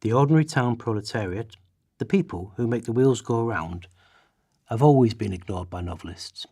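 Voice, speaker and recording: A man's voice: mid-range voice, expressive, normal speed, warm tone, husky. A man giving declamatory, emphatic readings of quoted prose for a radio play. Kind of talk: dramatic read quotations in a radio play.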